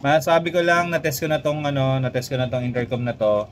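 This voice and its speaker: A man's voice: mid-range voice, flat delivery, quick pace, slight background noise. A Filipino man giving a casual, conversational product review as a motovlogger, speaking directly to his viewers. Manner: conversational, addresses viewers, often tags phrases with "no?".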